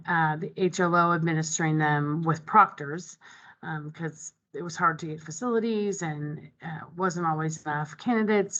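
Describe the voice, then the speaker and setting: A woman with a lower voice guides an online committee through its agenda. She talks in a loose, conversational way, full of ums and restarts. Her voice comes through dull, lo-fi video call audio. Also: fairly bright tone. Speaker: meeting facilitator. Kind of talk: casual explanation at an online committee meeting. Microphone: video call microphone.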